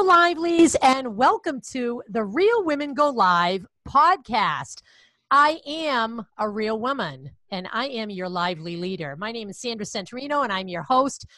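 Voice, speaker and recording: A woman's voice: fairly high pitch, a bit bright, no room sound. A woman in her fifties with a Boston accent, hosting a podcast interview in a bubbly, gushing way. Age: 50s. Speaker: podcast host. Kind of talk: casual interview chat.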